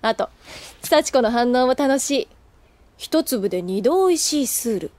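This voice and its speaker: A woman's voice: high voice, animated, measured pace, darkish tone, a little hiss or hum. Teasing senior schoolgirl, swooping intonation. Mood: playful teasing. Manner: playful, casual. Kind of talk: anime audio drama acting.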